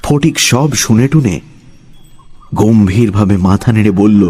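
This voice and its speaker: A man's voice: fairly low pitch, measured pace, warm tone, husky. A man narrating a scripted story in a dramatic storytelling style.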